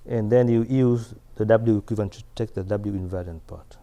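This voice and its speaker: Male math lecturer, middle-aged, mellow voice, halting delivery with restarts, lapel mic in a big lecture hall. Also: lowish voice. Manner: halting, many restarts and fillers, asks "right?". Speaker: mathematics lecturer. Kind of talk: chalkboard lecture. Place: large lecture hall at a chalkboard.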